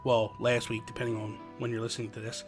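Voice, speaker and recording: A man's voice: mid-range voice, monotone, fast, soft background music. A man hosting a podcast, chatting casually and rambling as he goes, laughing easily. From New Jersey. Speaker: podcast host.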